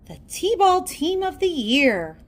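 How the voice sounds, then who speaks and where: A woman reading a children's storybook aloud in a theatrical, deliberate storytelling manner. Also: very high voice, big pitch swings. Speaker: storybook reader. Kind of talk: children's read-aloud narration.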